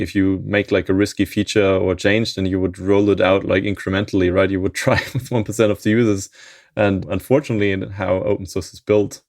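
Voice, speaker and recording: A man in his thirties, a software maintainer being interviewed, talking in a thoughtful way and chuckling often mid-sentence. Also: low voice, vocal fry, dry recording, clean studio sound. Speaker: open source maintainer, interview guest. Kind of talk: casual interview chat.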